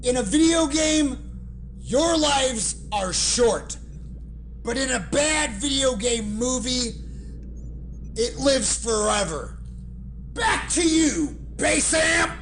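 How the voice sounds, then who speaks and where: A reviewer delivering a scripted segment in a deliberate, emphatic way with dramatic pauses. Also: sits high, lively intonation, a bit bright, faint music behind. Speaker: guest film reviewer.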